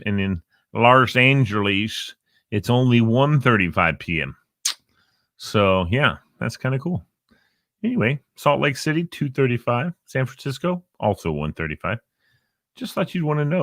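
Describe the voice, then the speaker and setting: Bright-voiced man rambling through a chatty show. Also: medium pitch, no echo, clean studio sound. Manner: rambling, playful, jokey asides. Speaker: show host. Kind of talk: casual live show chat. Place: Northern Virginia.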